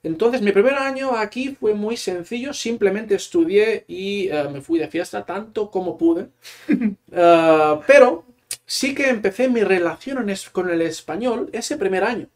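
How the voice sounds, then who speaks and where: High-voiced man, relaxed talker. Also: a little slow. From Catalonia, Spain. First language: Catalan. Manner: relaxed, explains at length with fillers. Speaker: guest, Spanish teacher. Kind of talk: casual interview chat.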